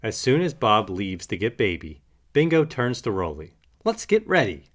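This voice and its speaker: A man narrating a children's picture book in an animated read-aloud style. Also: fairly low pitch, moderate pace, slight background noise. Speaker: storybook narrator.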